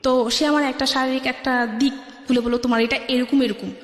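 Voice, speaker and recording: A woman with a high voice and a Bangladeshi accent shares a personal story as a guest. She speaks colloquially, replaying conversations by quoting each side. The recording is very echoey, with a long reverb tail. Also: little pitch movement, a little fast. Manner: recounts conversations by quoting both sides. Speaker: guest telling her life story.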